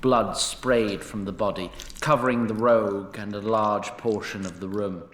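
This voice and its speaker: A man narrating a scripted fantasy story in a measured, formal storytelling style. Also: fairly low pitch, fairly even intonation, fairly bright tone, echoey room. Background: music.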